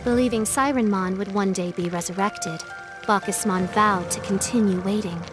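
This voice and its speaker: An actress playing a solemn storybook narrator in a video game, delivering scripted narration. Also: female voice, mid-range voice, steady tone, normal speed, music in the background, lightly acted.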